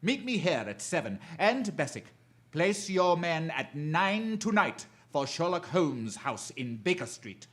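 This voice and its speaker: An actor playing a gloating criminal mastermind in a radio drama, menacing and deliberate, with theatrical delivery. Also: male voice, a little high, sing-song, fairly bright tone. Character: gloating male crime mastermind plotting a murder.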